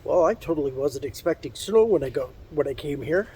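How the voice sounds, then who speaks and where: A man's voice: on the high side, a little fast, a little hiss or hum. Congested man vlogging at a snowy campsite. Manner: relaxed, rambling, with fillers and restarts. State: congested, nasal from a head cold. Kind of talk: casual vlog talk to camera.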